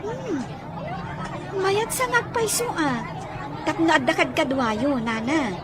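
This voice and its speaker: A woman's voice: high voice, lively intonation, takes it slowly. A woman playing a polite, agreeable young woman in a radio drama. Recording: loud music and crowd noise behind.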